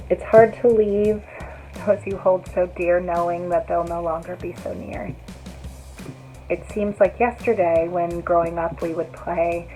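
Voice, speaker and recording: A woman's voice: lowish voice, darkish tone, low-quality recording. A woman reading a scripted rhyming poem aloud. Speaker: poetry reader.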